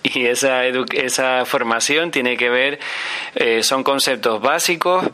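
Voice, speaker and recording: Bright-voiced man explaining. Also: medium pitch. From Tenerife, Canary Islands. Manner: explanatory, with frequent filler pauses and restarts. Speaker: environmental educator. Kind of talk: radio interview answers.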